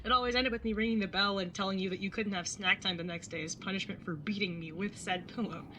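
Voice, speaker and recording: A woman's voice: mid-range voice, steady tone, fast, bright, forceful tone, slight background noise, lightly acted, rough recording. A woman playing a laid-back, blunt older sister in her young adulthood, casual and teasing, in a naturalistic audio drama. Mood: amused, embarrassed. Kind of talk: naturalistic audio drama dialogue and first-person narration.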